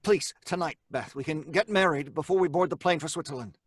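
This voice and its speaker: A man's voice: fairly high pitch, brisk, lightly acted. An actor playing a haunted, frantic scientist who tells his own tale in old-time radio horror style. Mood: agitated pleading. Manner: melodramatic, stammering when speaking in dialogue. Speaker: narrator and lead actor.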